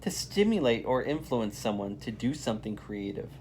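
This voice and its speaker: A volunteer reads a children's story in a lively, varied voice of medium pitch. There is faint noise in the background. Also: normal speed. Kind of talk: animated children's read-aloud.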